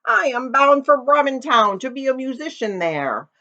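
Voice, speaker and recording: A woman's voice: sits high, big pitch swings, normal speed, pushed and bright, highs cut off, voice pushed up, exaggerated voice. A woman voicing a friendly, encouraging old male donkey in a storybook.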